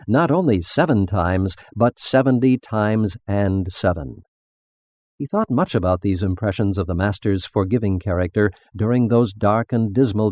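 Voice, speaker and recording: A man reading a religious text as scripted narration, in a measured, formal, expository manner. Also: lowish voice, mellow, dry recording, lo-fi sound.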